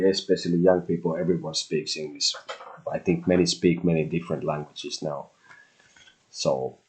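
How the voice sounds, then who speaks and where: A Finnish-accented man in middle age talks in a low, husky voice. He rambles casually as the host of a live tarot stream, restarting phrases and dropping the odd swear word. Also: darkish tone, low-quality recording. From Finland. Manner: loose and chatty, with restarts and mild swearing.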